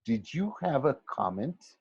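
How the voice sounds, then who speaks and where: A man's voice: mid-range voice, very animated, unhurried, vocal fry, slightly rough, dry recording, dull audio, voice pushed up, exaggerated voice. A man reading a storybook as a dignified male teacher, formal, questioning and patiently stern. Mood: probing.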